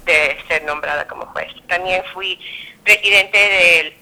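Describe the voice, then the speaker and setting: A woman in her thirties from Peru, a judge answering interview questions in a halting way, with many fillers and self-corrections. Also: lowish voice, pushed and bright, poor audio.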